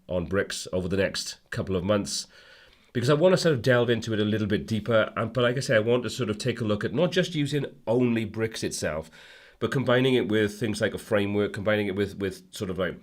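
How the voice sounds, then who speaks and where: Hoarse man chatting on a livestream. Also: fairly low pitch. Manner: rambling, friendly, many fillers and restarts. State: hoarse with a cold, coughing. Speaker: livestream host. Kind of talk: casual livestream chat reading viewer comments.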